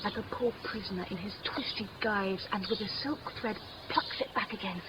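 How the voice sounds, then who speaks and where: A woman's voice: medium pitch, moderate pace, natural voice. An actress playing an impulsive, tender young noblewoman in love, speaking theatrical Shakespearean verse in a 1950s radio play style. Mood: lingering, adoring. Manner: breathy, theatrical verse delivery, often hushed. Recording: noisy, dull vintage 1950s radio broadcast.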